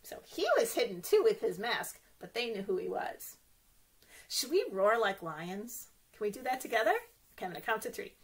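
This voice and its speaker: A woman's voice: mid-range voice, lively intonation, normal speed, slightly rough. A woman reading a picture book aloud for young children as a story time host, playfully exclaiming and asking her listeners questions.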